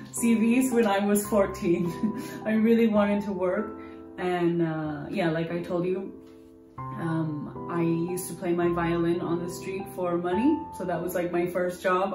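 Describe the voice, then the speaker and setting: A woman's voice: lowish voice, steady tone, a little slow. A young American woman in her twenties telling her story in a candid, rambling interview. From United States. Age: 20s. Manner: candid, rambling, full of restarts. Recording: roomy distant mic with music. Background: guitar music.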